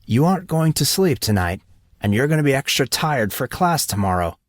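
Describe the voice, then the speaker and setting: A man's voice: medium pitch, sing-song, normal speed, dry recording, studio quality, voice pushed up, lightly acted. A man voicing a brash, joking teenage boy who talks in cocky, slangy banter in an audiobook. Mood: cocky, playful.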